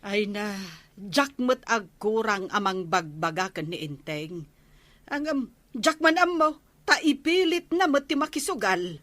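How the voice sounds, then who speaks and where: An actress playing the nagging, exasperated wife of a village captain in a radio comedy drama. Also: female voice, mid-range voice, sing-song, a little slow, a bit bright. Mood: ashamed, worried.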